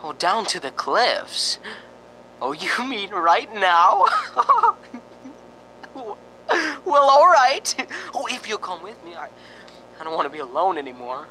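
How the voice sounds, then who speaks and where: A man acts out a frightened young radio host sliding into mania in a radio drama. His bright voice swoops up and down with jittery, stammering theatricality. A faint rumble sits behind him. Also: huge pitch swings, slow, pauses often, heavily acted, low-quality recording. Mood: manic. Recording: faint rumbling background noise.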